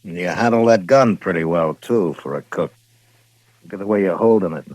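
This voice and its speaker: A stern American frontier lawman from 1950s radio drama. His voice is deep, recorded with no room around it. He speaks in clipped, dry, commanding phrases, shrewd and a little weary. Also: normal speed, a little mellow, dry recording, poor audio. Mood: stern, probing. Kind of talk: 1950s radio western acting.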